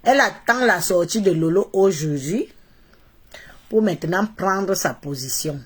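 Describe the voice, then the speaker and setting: A woman's voice: lowish voice, expressive, a little slow, slightly rough. A woman from Cameroon with a Cameroonian accent and a head cold, talking to followers on a live stream in a mocking, opinionated way, repeating phrases for emphasis. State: congested with a cold. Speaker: social media live streamer.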